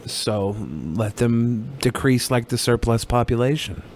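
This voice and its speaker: A man's voice: medium pitch, fairly even intonation, a little slow, a little mellow, slight background noise. A sardonic, foul-mouthed man chatting casually and jokingly as a podcast co-host. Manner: sardonic, profane. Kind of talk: casual comedic podcast chat.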